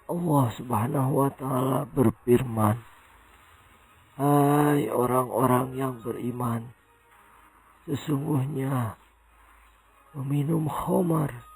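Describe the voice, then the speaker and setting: Guy doing slow preachy cartoon cleric, husky and raspy, talks like a sermon. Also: mid-range voice, fairly even intonation, soft background music, full character voice, low-quality recording. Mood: solemn. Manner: solemn, declamatory. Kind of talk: sermon-like address in comic cartoon.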